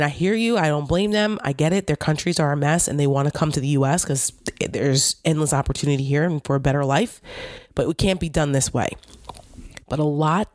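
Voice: medium pitch, no echo, studio quality. A commentator with a New Jersey accent, hosting a casual political monologue in a blunt, indignant way and swearing freely. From New York / New Jersey area.

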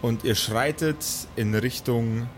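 A man runs an improvised pen and paper game. He narrates in an average-pitched voice with a playful showman's delivery. Soft music sits behind him. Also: slow. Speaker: game master. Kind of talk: improvised tabletop role-play narration. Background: faint background music.

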